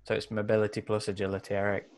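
A man with a fairly low voice chats casually during a tabletop role-playing session. He talks as one player to the others, over a video call. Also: completely flat delivery, racing, a little mellow. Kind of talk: casual in-game chat. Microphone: group video call.

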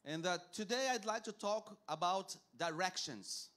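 A middle-aged man whose first language is Portuguese preaches in English from a church stage. He speaks in short measured phrases and pauses for an interpreter. His voice sits a little high for a man. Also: expressive, fairly bright tone. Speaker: guest missionary preacher. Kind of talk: sermon with live interpretation. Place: church stage, large hall.

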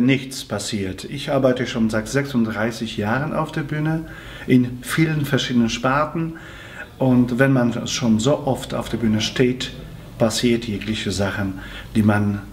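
A man in his forties from Poland, a native Polish speaker with a Polish accent, answering fan questions in a seated video interview in careful, slightly halting phrasing. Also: mid-range voice, slight background noise. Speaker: musical theatre actor answering fan questions. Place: small room with red curtain and patterned wallpaper, indoors.

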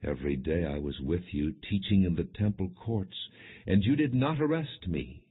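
A man narrator voices a grave, commanding male religious teacher in a scripture audiobook. He speaks earnestly and emphatically in a low, husky voice, on a muffled, phone-thin recording. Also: animated, normal speed. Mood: firm, solemn. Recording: muffled, narrow phone-like sound.

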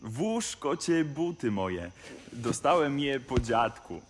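Male actor as young orphan lad, kind, poor, slow theatrical verse, fairy-tale audio play. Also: medium pitch, very animated, slight background noise. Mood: kindly. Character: poor, good-natured young orphan, youngest brother Janek. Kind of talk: musical fairy-tale audio play acting, partly sung. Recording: music behind the voice. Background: music.

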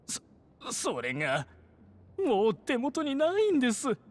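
Shifty pickpocket with swooping voice. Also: male voice, very high-pitched, huge pitch swings, takes it slowly, full character voice. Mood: grovelling. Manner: theatrical, swinging from pompous to meek. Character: shifty cowardly male pickpocket, boastful then grovelling. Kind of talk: video game cutscene acting.

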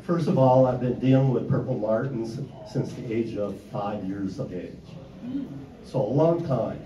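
An older man gives a plain-spoken talk at a convention, loud and steady, with frequent "uh" fillers. His voice is dark and mellow. It carries through an echoey hall from a mic held away from his mouth, over faint room noise. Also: mid-range voice, unhurried, recorded from a distance. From Wisconsin. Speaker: conservation speaker. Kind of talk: convention presentation. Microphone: handheld mic held away from mouth.

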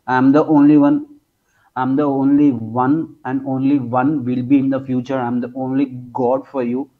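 A man in his early twenties with an Indian accent debates over dull, low-fidelity call audio. His voice is mid-pitched. He speaks haltingly, circling back to repeat phrases emphatically. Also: little pitch movement. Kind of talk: casual debate.

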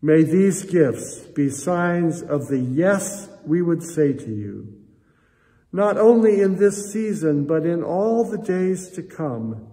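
Older man, American accent, pastor, higher-pitched voice, slow solemn delivery, church reverb. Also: expressive, warm tone. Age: 60s or older. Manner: solemn, measured, earnest. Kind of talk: church prayer and offering appeal. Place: church sanctuary, indoors. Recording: reverberant church hall echo.

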